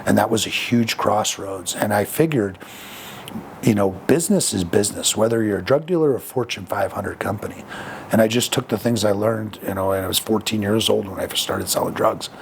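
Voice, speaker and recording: A man speaks frankly as a podcast guest, his voice low and gravelly. He reflects on his past in an unhurried, conversational way, often pausing with fillers and restarts. A faint hiss sits under the recording. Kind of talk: candid conversational storytelling.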